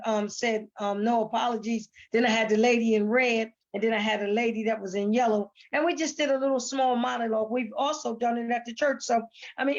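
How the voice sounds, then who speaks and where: A woman with a fairly high voice talks casually in a panel conversation. She is chatty and emphatic, circling back to repeat words and phrases. The recording is somewhat dull and muffled. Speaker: stage actress interviewed about a play.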